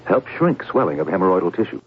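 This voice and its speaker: A man's voice: sits low, big pitch swings, fast. A man reading a scripted radio commercial as its announcer. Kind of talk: scripted radio advert read. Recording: muffled, hissy old radio broadcast.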